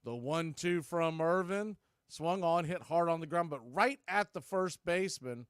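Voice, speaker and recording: A man's voice: fairly high pitch, measured pace, clean tone, dry recording, studio quality. A man doing live radio baseball commentary in clipped, conversational phrases, hosting the play-by-play.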